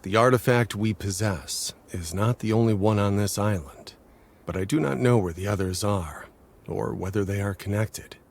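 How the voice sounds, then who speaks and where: A husky, solemn werewolf leader. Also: male voice, fairly low pitch. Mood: guarded. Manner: measured, grave, guarded. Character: cautious, solemn male werewolf leader sheltering his people. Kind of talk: dramatic game cutscene acting.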